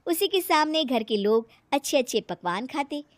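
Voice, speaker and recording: A woman tells a scripted moral story as its narrator. Her voice is high and moves up and down in a lively way. The recording is dry, with no room around it. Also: normal speed, no room sound.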